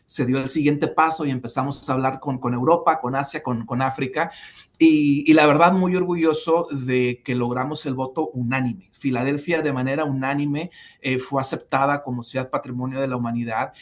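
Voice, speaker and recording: Man from Mexico, forties, interview guest, measured storytelling, fillers and restarts, middle pitch. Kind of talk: conversational interview answers.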